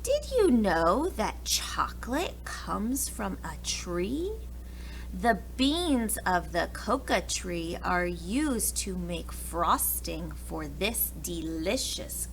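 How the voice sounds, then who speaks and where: American woman, preschool read-aloud, very lively pitch, creaky edges, expressive storytelling for little kids, noisy background. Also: very slow. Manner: expressive, addressing young children with questions and asides. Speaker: preschool teacher. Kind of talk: read-aloud of a children's picture book. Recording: clear background noise.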